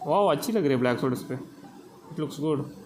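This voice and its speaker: Very fast-talking Indian man streaming. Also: fairly high pitch, expressive, a little mellow, slight background noise. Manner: informal, mixes languages, swears. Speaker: game streamer. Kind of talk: casual live gameplay commentary.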